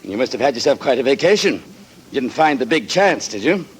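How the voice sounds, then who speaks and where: An actor playing a knowing boss who prods with mocking questions, in 1940s radio drama style. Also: male voice, medium pitch, expressive, brisk, a little hiss or hum, natural voice, low-quality recording. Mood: teasing. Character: needling, knowing male boss figure.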